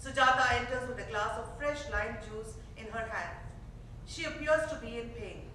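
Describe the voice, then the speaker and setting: A woman's voice: on the high side, moderate pace, bright, forceful tone. A woman reading stage directions as the narrator of a staged play reading. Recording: echoey theater from far off, faint noise.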